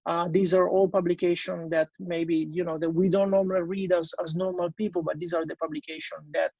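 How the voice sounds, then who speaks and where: A man with an Italian accent talks through an online business presentation in a high, gravelly voice. He hesitates often, with plenty of fillers and restarts. The video call audio sounds dull and dry. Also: little pitch movement, brisk, a little mellow, no room sound. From Italy.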